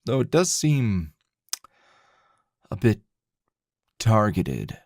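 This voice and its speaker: A man playing a grumpy middle-aged teacher in an intimate ASMR roleplay, a teasing, possessive lover with a low, gravelly, husky murmur and a slow drawl. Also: big pitch swings, a little mellow, dry recording, studio quality, heavily acted. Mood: amused, teasing.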